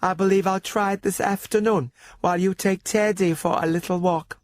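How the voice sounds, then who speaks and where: A prim, elderly aunt in a radio drama, acted by a man, pitched high in a thin falsetto. She speaks with genteel, fussy precision and a snappish edge. Music runs underneath. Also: steady tone, normal speed, a little hiss or hum, lightly acted. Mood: chatty, fussy. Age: older. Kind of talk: radio story character voice.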